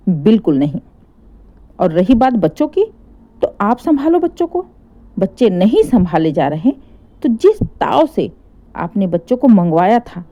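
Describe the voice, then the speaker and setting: A woman's voice: mid-range voice, mellow. A woman voicing an indignant older mother defending her married daughter in a story, firm, sarcastic and emphatic.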